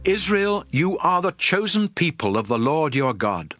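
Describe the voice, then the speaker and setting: A man's voice: on the high side, sing-song, a little slow, fairly bright tone. An actor playing a stern, fervent prophet who addresses his people in a solemn, emphatic, declamatory dramatized scripture reading. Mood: fervent, exalting. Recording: music under the voice.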